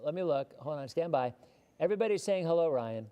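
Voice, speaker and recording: Hoarse male weather host, slightly high voice, loose and repetitive live-stream chatter. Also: lively intonation, fairly quick, mellow, highs cut off. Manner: chatty, often repeats words while thinking. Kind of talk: live-stream chat answering viewer questions.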